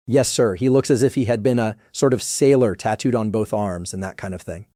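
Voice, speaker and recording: A man's voice: fairly low pitch, a little fast, warm tone, no room sound, studio quality, voice pushed up, natural voice. A man voicing a plain, earnest country gamekeeper who speaks respectfully to his master, for an audiobook. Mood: deferential, earnest. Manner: respectful, plain-spoken servant. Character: deferential rustic English head gamekeeper, plain and earnest. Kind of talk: audiobook character voice.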